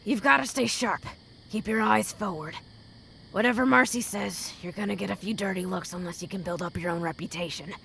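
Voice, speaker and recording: Woman acting creaky-voiced world-weary ghoul drifter. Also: medium pitch, a little fast, a bit bright, slight background noise, rough recording. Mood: earnest warning. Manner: blunt, earnest advice-giving. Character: world-weary, tough female ghoul drifter, blunt but protective mentor. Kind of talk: fan audio drama acting.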